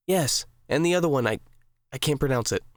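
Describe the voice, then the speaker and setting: A man's voice: mid-range voice, expressive, brisk, no echo, studio quality, natural voice. A man playing a persistent, needling brother in an audiobook drama, casual and coaxing in manner. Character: Jags, needling, persistent brother who pries for confidences.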